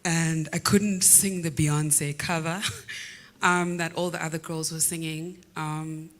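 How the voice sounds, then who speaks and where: Woman, South African accent, baritone voice, reflective, panel talk, studio. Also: steady tone. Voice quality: low baritone voice. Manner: reflective, many "um" pauses. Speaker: singer-songwriter panelist. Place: recording studio.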